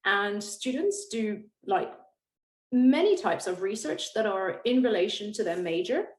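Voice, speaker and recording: A woman's voice: mid-range voice, animated, unhurried. A woman from the program staff presenting in a webinar, hesitant, with many fillers and restarts. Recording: echoey room on a call.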